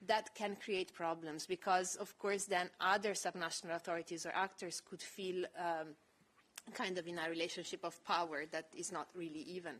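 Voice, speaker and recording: A woman with a low voice giving a measured, explanatory panel presentation with frequent fillers. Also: fairly even intonation, a bit bright. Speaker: panel speaker presenting project research.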